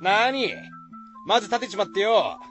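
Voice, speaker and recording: An actor playing a bold village man in a radio drama, with rough, blunt, folksy speech. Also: high-pitched, moderate pace, fairly bright tone, faint music behind. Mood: bold, persuasive.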